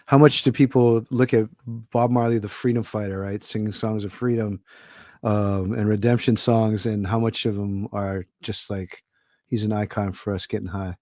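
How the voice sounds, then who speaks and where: A man's voice: fairly low pitch, fairly even intonation, moderate pace, a little mellow, dry recording, clean studio sound. A middle-aged man from Hawaii, an author guesting on a podcast, talking in a thoughtful, rambling way with many fillers. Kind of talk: casual podcast conversation.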